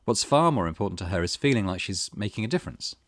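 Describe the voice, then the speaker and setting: A man's voice: on the low side, lively intonation, fast, dry recording, clean studio sound. A man hosting a let's play, reading game text aloud and adding his own commentary.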